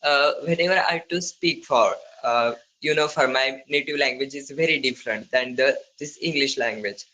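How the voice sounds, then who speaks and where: A man with an Indian accent practising conversational English, speaking haltingly in non-fluent phrases with broken grammar. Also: medium pitch, sharp tone, slight background noise, rough recording. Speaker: English learner. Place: online video call.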